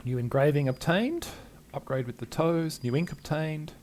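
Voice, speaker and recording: An older man giving casual let's-play commentary, musing to himself and reading game text aloud in a low-key way. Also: animated, normal speed, a little mellow, a little hiss or hum. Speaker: game streamer.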